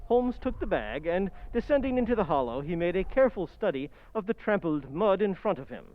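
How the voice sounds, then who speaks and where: An actor playing a detective's loyal gentleman companion, telling the tale in measured old-time radio drama style. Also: sits high, big pitch swings. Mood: measured storytelling. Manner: measured, storytelling. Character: Holmes's loyal gentleman companion, adult male narrator. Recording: dull, band-limited sound. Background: music.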